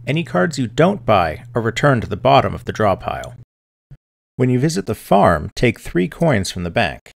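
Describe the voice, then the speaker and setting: A man giving scripted instructional narration in a clear, methodical, step-by-step manner, as a tutorial presenter. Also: medium pitch, very animated, normal speed, no echo. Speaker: board game tutorial presenter.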